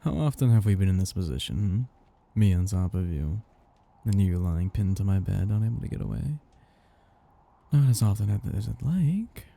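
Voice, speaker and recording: A man voicing a seductive, possessive vampire master in an ASMR roleplay, breathy and gravelly, speaking in a slow, gently domineering, teasing way. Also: deep voice, lively intonation, exaggerated voice. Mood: coaxing, possessive. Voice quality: breathy, gravelly, often dropping into whispers. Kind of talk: ASMR roleplay, intimate scripted monologue.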